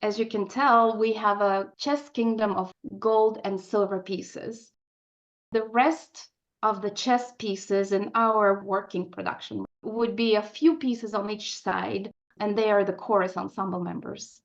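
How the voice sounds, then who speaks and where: A woman's voice: medium pitch, fairly even intonation, unhurried. A woman with a mid-range voice explains things as a guest in a radio interview. The recording is slightly dull and limited in frequency. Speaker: interview guest, opera composer. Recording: dull, band-limited sound.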